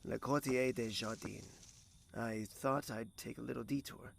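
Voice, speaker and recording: Casting: young royal suitor, mid-pitched with gravelly fry, formal, tender, audio drama. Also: male voice, fairly even intonation, a little slow, a little hiss or hum. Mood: reflective, teasing. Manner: formal, gentle, sprinkles French phrases. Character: courteous, regal young prince in love.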